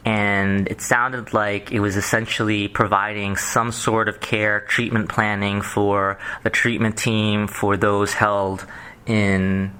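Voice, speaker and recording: A man answering as a psychiatrist in a phone job interview, explaining himself in halting, rambling stretches full of clinical terms. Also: on the low side, level tone, pushed and bright, smooth. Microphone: telephone.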